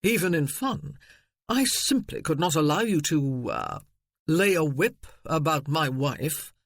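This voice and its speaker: A cool, unhurried lord with bone-dry wit, as a male narrator plays him in a period storybook. He speaks lower and slower than the rest, with measured menace. The recording is dry and close. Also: wildly swooping pitch, creaky, a bit bright, no room sound, heavily acted. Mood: cold. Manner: cold, slow, dry. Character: tall, cold, dry-witted lord.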